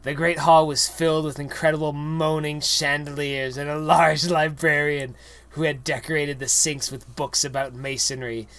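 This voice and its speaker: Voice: mid-range voice, level tone, moderate pace, fairly bright tone, no echo. A young adult reading a parody story aloud with exaggerated, comedic dramatic narration. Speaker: reader.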